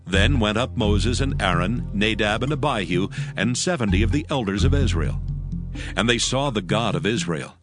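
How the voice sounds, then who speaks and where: A man narrating scripture from a script in a solemn, measured manner. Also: on the low side, lively intonation. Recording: music behind the voice. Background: background music.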